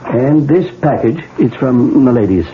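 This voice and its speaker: An actor playing a calm, wry male doctor in a 1970s radio drama, matter-of-fact in delivery. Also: medium pitch, measured pace, slight background noise, close to an everyday voice. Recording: tinny old radio broadcast.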